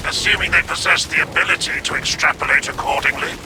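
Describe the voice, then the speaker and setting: A man's voice: a little high, fairly even intonation, quick pace, close to an everyday voice. A man voicing a pompous, machine-worshipping tech priest in a game, speaking in a haughty, formal way. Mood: doubtful. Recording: game audio with loud noise behind.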